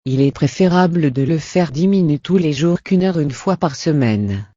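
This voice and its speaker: A man's voice: fairly high pitch, animated, normal speed, mellow, dry recording, lo-fi sound. A man presenting a scripted lesson in an encouraging, instructional way. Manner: encouraging, didactic.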